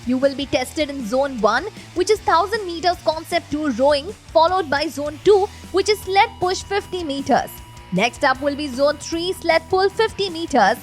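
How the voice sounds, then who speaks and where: A woman with an Indian English accent co-presenting a fitness race with brisk, clear delivery. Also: sits high, expressive, faint music behind. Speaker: race commentator. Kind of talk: scripted sports commentary.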